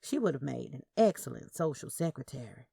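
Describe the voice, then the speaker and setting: Low, creaky-voiced woman narrating. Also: very animated, brisk, dark tone, dry recording, clean studio sound. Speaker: narrator of audio fiction. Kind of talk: first-person Southern Gothic story narration with acted dialogue.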